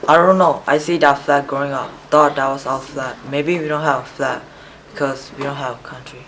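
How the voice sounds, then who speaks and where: A man's voice: mid-range voice, brisk, natural voice. A young man playing a hesitant student presenter. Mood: unsure. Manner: hesitant, plain-spoken, broken phrasing. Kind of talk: amateur student acting. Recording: distant mic with steady background noise.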